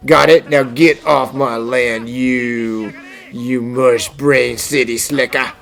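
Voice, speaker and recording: A man playing an earnest, stubborn farmer in an animated fan dub, with a folksy, halting delivery. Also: medium pitch, gravelly, soft background music. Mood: defiant. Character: plucky, stammering farmer Minton, stubborn and earnest.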